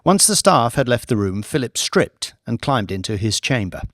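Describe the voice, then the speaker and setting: A man's voice: medium pitch, sing-song, moderate pace, a bit bright, dry recording. A man reading dramatic scripted audiobook narration into a close mic.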